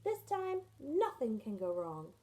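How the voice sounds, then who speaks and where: An adventurous little male penguin who can't stand the cold, as a woman reading aloud puts him on. He sounds cheerful and determined, with a mellow, warm tone, read straight from a storybook. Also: a little high, huge pitch swings, slow, exaggerated voice. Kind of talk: children's picture book read-aloud.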